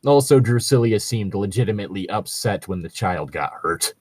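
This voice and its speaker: A man's voice: fairly low pitch, expressive, moderate pace, darkish tone, slightly rough, no room sound. A man chatting casually as a co-host, rambling and jokey with a bit of swearing. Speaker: co-host reading and discussing tabletop gaming stories. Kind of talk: casual chat.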